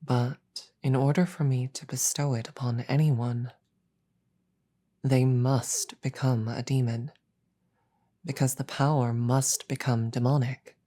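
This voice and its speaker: A woman voicing a regal, calm demon queen in a roleplay audio drama, speaking in a slow, deliberate, breathy murmur with measured pauses and quiet menace. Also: a bass voice, heavily acted. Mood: pensive, probing.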